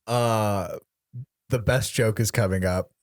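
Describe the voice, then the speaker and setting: A young man with a fairly low voice chats loosely over a film, full of fillers and easy laughs. The room sound is dry and close. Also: slow, no echo. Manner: relaxed, laughs often, lots of fillers. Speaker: podcast co-host. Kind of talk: casual movie commentary chat.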